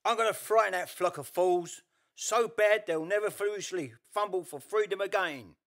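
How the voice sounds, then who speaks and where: A man's voice: sits high, normal speed, fairly bright tone. A man playing a furious, scheming, boastful ghost villain in a radio play, ranting theatrically. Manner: theatrical, gloating, alliterative ranting.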